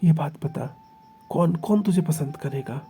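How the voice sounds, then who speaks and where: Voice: medium pitch, animated, measured pace, creaky, warm tone, faint music behind. A storyteller voicing a young man who pleads with and then confronts his partner. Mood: hurt intense. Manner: coaxing, then hurt and intense. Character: young man, doting then possessive lover.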